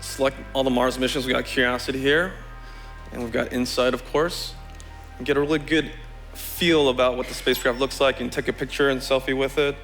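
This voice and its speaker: Gravelly man explaining, faint music. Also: medium pitch, fairly even intonation, a little fast, a bit bright, low-quality recording. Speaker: space agency expert, guest. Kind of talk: informal live demonstration and explanation.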